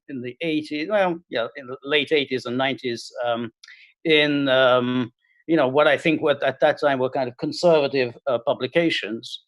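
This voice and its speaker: A middle-aged man from Hungary, talking as a guest on a video call and speaking haltingly with many fillers and restarts. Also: mid-range voice, fairly bright tone, no echo, low-quality recording. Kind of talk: casual interview talk.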